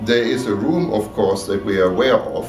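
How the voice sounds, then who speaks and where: A man giving a measured technical explanation in an interview, checking that he is understood. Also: fairly low pitch, expressive, darkish tone. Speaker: loudspeaker designer. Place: exhibit room at an audio show. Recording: echoey room, distant mic, music behind.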